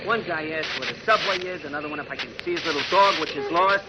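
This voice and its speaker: Man acting fast, griping city cabbie. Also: fairly high pitch, natural voice. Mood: exasperated. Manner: slangy, griping. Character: gruff, exasperated wisecracking big-city cab driver. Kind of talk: 1940s radio acting. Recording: noisy vintage radio, vehicle sounds.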